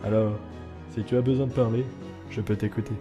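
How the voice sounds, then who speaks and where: A man playing a weary, guarded skeleton with a gentle, earnest streak in a dramatic comic dub. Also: lowish voice, fairly even intonation, a little fast, natural voice. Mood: firm, wounded. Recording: long reverb with music underneath.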